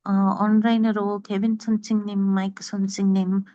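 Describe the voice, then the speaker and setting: A woman's voice: mid-range voice, little pitch movement, very fast, mellow, no room sound. A woman who learned Korean as a foreign language introduces herself over slightly dull online call audio. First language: not Korean. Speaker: community member, Korean learner. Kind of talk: self-introduction.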